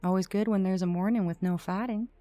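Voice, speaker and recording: A woman's voice: mid-range voice, fairly even intonation, fast, dark tone, soft background music, lightly acted. A woman playing a friendly, playful and protective soldier on patrol in a fantasy audiobook. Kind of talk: audiobook character acting.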